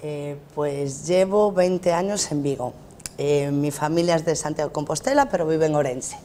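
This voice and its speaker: A woman from Madrid with a low, mellow voice talks in a studio debate with a faint hiss behind her. She speaks with effusive enthusiasm. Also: moderate pace. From Madrid, Spain. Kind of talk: casual debate talk.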